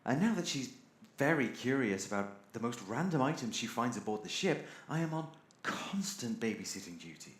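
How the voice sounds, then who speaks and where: A man's voice: medium pitch, big pitch swings, moderate pace, a bit bright, reverberant. A man playing an irritable, sardonic robot crewman in an audio drama, theatrically exasperated and sarcastic. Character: irritable sardonic male robot crewman keeping a ship's log.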